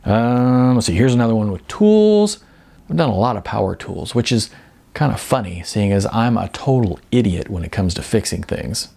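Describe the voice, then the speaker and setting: A man's voice: on the low side, animated, normal speed, creaky, dark tone. A man voicing a good-natured, self-deprecating model in his forties, hesitant and full of ums, in an audiobook. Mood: chatty, self-deprecating. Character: modest, good-natured balding 40-something male commercial model. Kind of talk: audiobook character dialogue.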